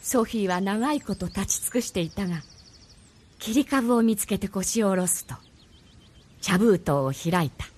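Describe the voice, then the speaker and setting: A woman narrating a radio drama as a measured storyteller. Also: medium pitch, lively intonation, a little mellow.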